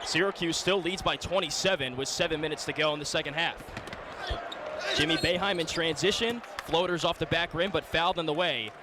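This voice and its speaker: A man with a somewhat high-pitched voice gives live basketball commentary for radio. He describes the action in short, clipped phrases as the play-by-play announcer. Loud arena ambience and bouncing balls sit behind his voice. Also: a little fast, background noise. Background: gym ambience, bouncing basketballs.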